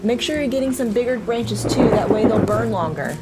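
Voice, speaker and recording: A woman's voice: a little high, lively intonation, a little fast, close to an everyday voice. Woman playing a flustered camping mom, music behind. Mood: practical. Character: mother on a camping trip, flustered, self-doubting narrator. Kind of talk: audio drama narration and dialogue.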